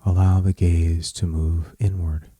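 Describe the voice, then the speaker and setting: A man with a low, mellow voice guides a meditation at a very slow, measured pace. He speaks soothingly in short phrases, leaving space between them. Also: level tone, husky, dry recording.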